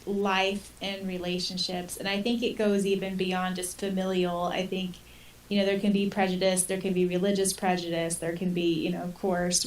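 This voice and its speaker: A young adult woman co-hosting a podcast, chatting casually and earnestly, recorded at some distance from the mic with hiss. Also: on the low side. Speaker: podcast co-host. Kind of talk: casual chat.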